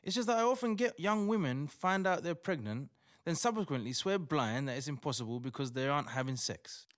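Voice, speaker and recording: A man playing a bewildered but courteous atheist doctor in a modern audio drama, measured and incredulous. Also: fairly high pitch, lively intonation, close to an everyday voice. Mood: skeptical. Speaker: actor in audio play.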